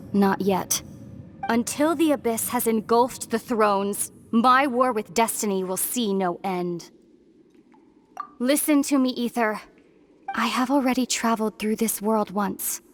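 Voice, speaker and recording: Woman as solemn young game princess, kinda high voice, sad but determined, measured dramatic delivery. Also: slight background noise, natural voice. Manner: grave, deliberate, sorrowful resolve. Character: solemn young princess, resolute long-lost sister. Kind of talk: dramatic video game voice acting.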